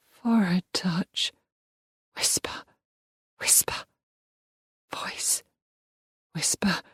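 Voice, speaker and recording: A woman performing a broken, tormented young woman in an audiobook, speaking in halting one-word fragments that drift between whispers and cries. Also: medium pitch, a bit bright, dry recording, studio quality, heavily acted. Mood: haunted. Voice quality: breathy. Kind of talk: audiobook inner-monologue performance.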